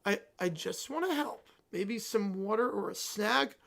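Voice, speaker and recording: A woman voicing a gentle mother who softly coaxes her upset child. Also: medium pitch, fairly even intonation, moderate pace, creaky, pitched below the performer's own voice, close to an everyday voice. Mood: soothing. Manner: soft, hushed, coaxing.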